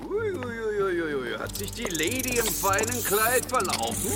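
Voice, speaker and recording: A man's voice: high-pitched, huge pitch swings, moderate pace, over music, full character voice. A man playing a leering, vulgar sailor thug, the sniggering sidekick, in a radio drama. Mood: leering, mocking. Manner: leering, crude, mocking.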